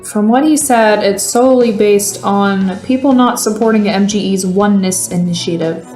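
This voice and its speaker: A woman's voice: medium pitch, normal speed, darkish tone, lightly acted. A woman playing a sensible, exasperated TV news producer with a dry, sarcastic manner in an audio comedy. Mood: dry, skeptical. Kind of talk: satirical audio comedy acting. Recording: roomy sound with faint music.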